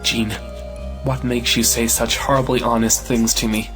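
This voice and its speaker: A man's voice: medium pitch, level tone, normal speed, over music, close to an everyday voice. Passionate young male suitor, acted by a man, anguished and jealous, for an old-fashioned stage romance in audio. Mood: shocked, pleading. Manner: earnest, anguished. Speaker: actor in an audio play. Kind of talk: melodramatic audio drama acting of a 1917 play.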